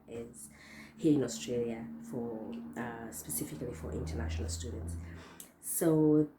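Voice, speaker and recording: Hoarse, very low-voiced young woman vlogger. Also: noisy background. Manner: chatty, rambling, many fillers and restarts. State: hoarse, losing her voice. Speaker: vlogger host. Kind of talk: casual talk to camera. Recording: echoey room.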